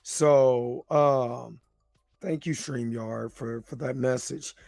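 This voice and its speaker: A man with a slightly hoarse voice hosting a livestream, talking casually in an informal, rambling, hesitant way. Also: mid-range voice, steady tone, slow, darkish tone, no echo, clean studio sound, faint music behind. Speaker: host of a livestream reaction show.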